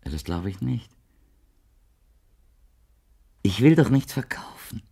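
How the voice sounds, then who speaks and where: An actor playing a gentle, insistent old blind man who speaks in a flowery, poetic, persuasive way in a German radio play. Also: fairly low pitch, sing-song, moderate pace, vocal fry, husky, heavily acted. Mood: gently hopeful. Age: older.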